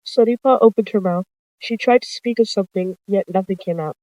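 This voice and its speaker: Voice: medium pitch, a little fast, dry recording, lo-fi sound. Storyteller narrating a roleplay in a dry close recording. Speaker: narrator of an online warrior cats roleplay video. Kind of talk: reading out text roleplay, narration and character dialogue.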